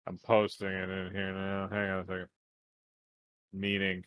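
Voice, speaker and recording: A man's voice: low-pitched, completely flat delivery, normal speed, fairly bright tone, clean tone, no echo. A man chatting casually over a game who laughs easily and keeps asking for a second while he looks things up. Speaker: let's play co-host. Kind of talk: casual gaming chat.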